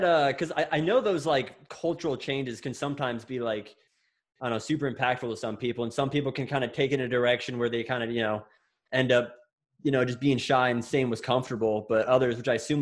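A man hosting a casual podcast, asking long rambling questions and joking around. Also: mid-range voice. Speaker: podcast host.

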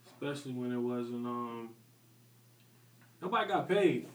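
A man's voice: mid-range voice, animated, unhurried, distant mic. A man hosting a podcast, asking loose, slangy questions and cracking jokes. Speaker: podcast host. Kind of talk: casual interview chat. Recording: echoey room, voice off-mic, faint background noise.

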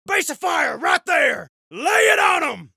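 A man playing a fierce, rowdy military officer, shouting commands to rally his men. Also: very high voice, very animated, a little slow, vocal fry, dry recording, clean studio sound, pitched above the performer's own voice, full character voice. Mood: rousing, fierce. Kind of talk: character voice demo.

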